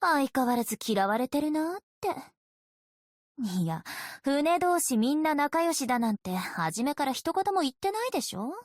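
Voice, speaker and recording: High-voiced, teasing vampire girl. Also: very animated, moderate pace, no room sound, clean studio sound. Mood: playful, nonchalant. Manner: playful, coy, casually threatening. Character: teasing, sinister young female vampire, sweetly menacing. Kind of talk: game character voice lines in Japanese anime acting.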